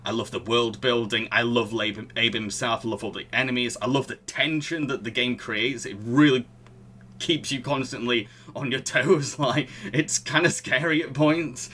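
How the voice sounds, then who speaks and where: A podcast host talking in a casual, enthusiastic and expressive way. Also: mid-range voice, moderate pace.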